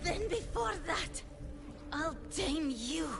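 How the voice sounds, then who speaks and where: A woman's voice: very high-pitched, animated, takes it slowly, creaky, very noisy, exaggerated voice. An actress playing a fierce, resolute demon-hunting swordswoman in a fantasy game, speaking in commanding, clipped declarations. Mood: defiant. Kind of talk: dramatic fantasy game acting.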